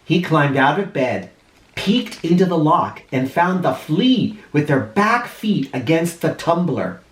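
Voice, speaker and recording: A man reading a storybook aloud like a schoolteacher, expressive and deliberate, with dramatic emphasis. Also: on the high side. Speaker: first grade teacher. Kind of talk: animated storybook read-aloud for children. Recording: roomy, echoey indoor sound.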